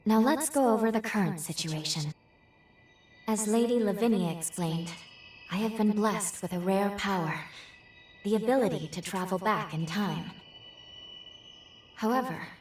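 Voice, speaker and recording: A woman voicing a gentle, earnest young time traveler in a video game, with formal, polite, measured phrasing. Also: mid-range voice, reverberant, far from the mic, a little hiss or hum, natural voice. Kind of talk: scripted video game cutscene acting.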